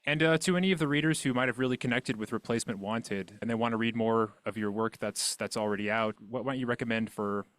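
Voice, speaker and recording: A man's voice: medium pitch, fast, few pauses, fairly bright tone, dry recording. A man hosting a podcast interview in a casual, conversational style.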